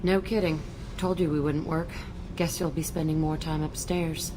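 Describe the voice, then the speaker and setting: An actress plays a hard-edged young woman outlaw in a sci-fi game. Her voice sits low, and she speaks bluntly, with bitter, guarded defensiveness. There is plenty of noise behind her. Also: steady tone, moderate pace, lightly acted. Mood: defiant. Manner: blunt, foul-mouthed, defensive. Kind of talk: video game dialogue. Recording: loud background noise, game ambience.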